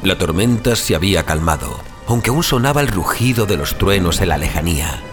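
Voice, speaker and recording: A man with a deep voice narrates literary fiction. His scripted reading is grave, measured and theatrical. A clear bed of music runs behind the narration. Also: sing-song. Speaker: audiobook narrator.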